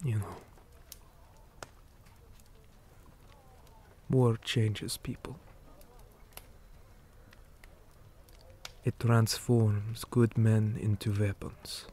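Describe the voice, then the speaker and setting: A man playing a weary, principled orc commander in an ASMR roleplay, speaking gravely and measuredly and often dropping into breathy whispers. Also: fairly low pitch, flat delivery, slow pace, mellow. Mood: sombre, regretful.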